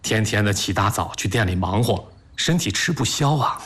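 An actor playing a supportive, affectionate husband in a sentimental audio drama, reassuring and gently teasing with expressive interjections. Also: male voice, fairly low pitch, moderate pace, husky.

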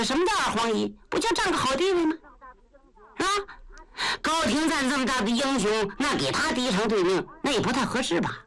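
A woman's voice: high voice, very noisy, pitched above the performer's own voice. A woman voicing a blunt, muddle-headed old male general who talks back brashly in a traditional storytelling performance.

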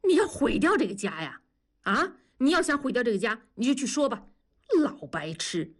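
Woman putting on a loud blunt man. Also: on the high side, very animated, normal speed, voice pushed up. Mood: scolding. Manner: teasing, scolding, coarse. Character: blunt rough-talking middle-aged man, loud and needling.

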